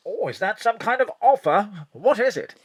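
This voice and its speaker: Someone playing a flirty spy chief. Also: male voice, high-pitched, expressive, moderate pace, husky. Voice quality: flirty, suggestive. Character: flirtatious male spy chief, roused from sleep.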